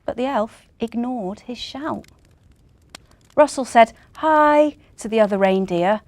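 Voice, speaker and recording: A woman's voice: fairly high pitch, a little slow, mellow, no echo. A woman reading a children's story expressively.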